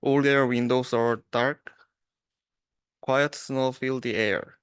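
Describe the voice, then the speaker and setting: Slow-reading young man with clear voice. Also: mid-range voice, steady tone, no echo, studio quality. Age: young adult. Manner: halting, restarts words. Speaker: student reading aloud. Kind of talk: storybook read-aloud.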